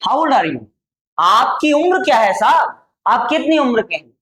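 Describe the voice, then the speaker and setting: Slow-dictating Indian woman teacher. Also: a little high, sing-song, fairly bright tone. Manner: slow, drawn-out dictation of model answers, repeating each phrase. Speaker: English teacher. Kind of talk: spoken English lesson for Hindi-speaking students.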